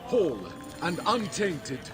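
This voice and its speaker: A man's voice: high-pitched, very animated, takes it slowly, over music, heavily acted. An actor playing a devout male sun-cult leader who chants hymns and speaks with solemn fervor in a video game. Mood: chanting. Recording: game audio with loud score.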